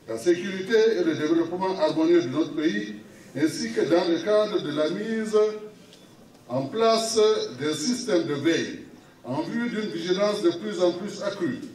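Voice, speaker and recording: A man with a Cameroonian accent solemnly reading a formal public declaration in short, measured phrases, as an official would. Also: high voice, a little mellow. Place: municipal hall at a public meeting. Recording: echoey hall, distant microphone.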